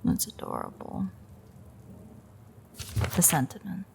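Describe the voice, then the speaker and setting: Woman acting as a smug predatory vampire lady, real slow n creaky, teasing and threatening, audio roleplay thing. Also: lowish voice, wildly swooping pitch, a little mellow, a little hiss or hum, exaggerated voice. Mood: amused, mock-sweet. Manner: teasing, sardonic, unhurried, menacing. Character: smug, predatory female vampire taunting her captive hunter. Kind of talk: intimate audio roleplay monologue.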